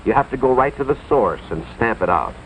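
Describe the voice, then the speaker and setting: An American man playing an earnest, authoritative school official, narrating a cautionary tale in a deliberate, moralizing 1950s educational film style, with dramatic emphasis. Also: medium pitch, expressive, a little hiss or hum, natural voice. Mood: earnest, firm. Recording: tinny, band-limited 1950s film soundtrack, music behind.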